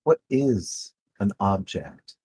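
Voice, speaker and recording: A man player with an animated, swinging pitch throws in quick joking asides. The sound is dry and close. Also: husky, dry recording. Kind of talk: tabletop game chat.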